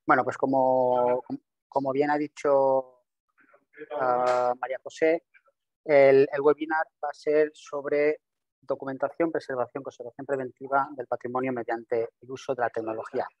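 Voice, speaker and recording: A man in his thirties who is not a native speaker, giving a webinar presentation over a video call, quick and full of restarts and repeated words. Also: mid-range voice, level tone, no room sound, muffled recording. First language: not Spanish. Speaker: webinar presenter, systems engineer. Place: home office with virtual background. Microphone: video call webcam.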